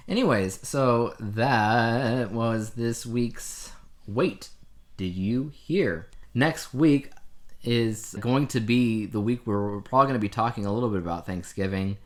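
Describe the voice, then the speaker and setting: A man's voice: mid-range voice, measured pace. A middle-aged man co-hosting a podcast in casual conversation. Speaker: podcast co-host. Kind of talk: casual chat.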